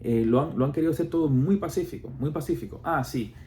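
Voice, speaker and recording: A man's voice: a little high, fast talker, warm tone, a little hiss or hum. A man from Cuba with a Cuban Spanish accent, explaining his views in casual talk, full of fillers, restarts and rhetorical questions.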